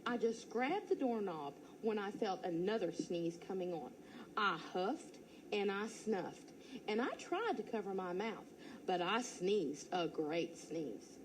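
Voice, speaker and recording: A teacher reading a children's storybook aloud in first person, playful and expressive. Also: female voice, mid-range voice, slow pace, slight background noise, rough recording.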